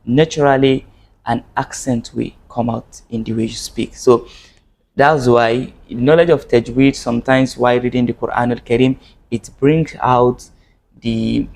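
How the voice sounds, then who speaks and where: A man's voice: mid-range voice, darkish tone. Earnest man teaching Arabic. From Nigeria. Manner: earnest, repeats and restarts phrases. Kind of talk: video lesson to camera.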